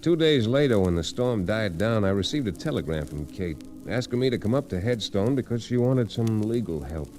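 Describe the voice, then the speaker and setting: An actor playing a steady, kindly frontier lawyer who tells his story in a folksy, easygoing way in an old-time radio western. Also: fairly low pitch, moderate pace, hardly any pauses, soft background music. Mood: grave storytelling. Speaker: narrator and lead.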